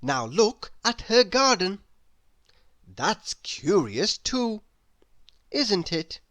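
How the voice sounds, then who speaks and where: A woman narrates a children's storybook at a slow pace with highly animated pitch. She speaks expressively and playfully to the child listening. The sound is close and dry. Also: no room sound.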